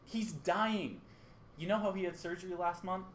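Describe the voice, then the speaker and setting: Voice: mid-range voice, normal speed, close to an everyday voice. An actor playing a grave, worried radio station colleague in a radio play. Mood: urgent. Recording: roomy echo with faint noise.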